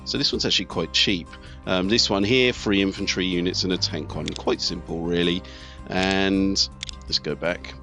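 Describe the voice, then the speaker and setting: A man in middle age hosts a casual strategy game playthrough in a fairly low voice. He thinks out loud in a conversational way. Game music plays clearly behind his commentary. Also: steady tone, normal speed. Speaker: video host, gamer.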